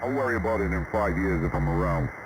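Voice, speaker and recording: A man's voice: low-pitched, fast. A man chatting casually as an operator on a CB radio channel. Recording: very noisy CB sideband radio reception. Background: heavy radio static.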